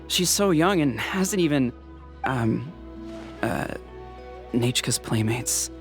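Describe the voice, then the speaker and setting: A man's voice: mid-range voice, expressive, slow pace. A man playing a worried, ordinary village deliveryman and father in a video game, fretful and hesitant. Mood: fretful, confused. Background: music.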